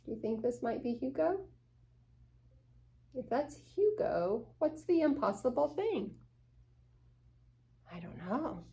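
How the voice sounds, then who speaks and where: A woman reads a picture book aloud to young children. Her voice is high and very expressive, with very slow, dramatic emphasis and inviting questions to listeners. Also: slightly rough. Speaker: children's story-time host.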